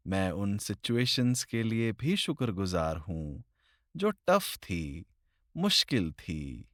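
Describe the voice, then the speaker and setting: A man leading a guided meditation in slow, deliberate phrases with long pauses, recorded close to the mic. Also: lowish voice, no echo.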